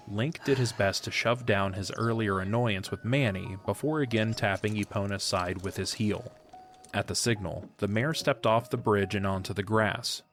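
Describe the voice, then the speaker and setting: Low-pitched male story narrator. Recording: music under the voice.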